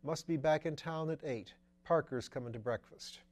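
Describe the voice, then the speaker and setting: A man's voice: a little high, normal speed, darkish tone, pitched above the performer's own voice, lightly acted. A man voicing a breezy young aristocrat with airy, lilting upper-class banter.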